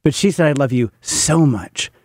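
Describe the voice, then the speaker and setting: A man in his fifties talks in a candid, conversational storytelling style. His voice sits a bit high for a man and is recorded very dry. He is self-deprecating and leans on repetition for emphasis. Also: expressive, normal speed, a little mellow, no room sound. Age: 50s. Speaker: podcast guest, parent of a teenager.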